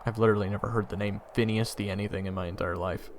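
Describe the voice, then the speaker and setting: A man playing a young army private in a fantasy audio roleplay, casual, halting and dryly sarcastic. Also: fairly low pitch, steady tone, a little hiss or hum, close to an everyday voice. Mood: deadpan. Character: young army private hero, unimpressed, cheeky and deadpan. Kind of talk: fantasy audio roleplay acting with first-person narration.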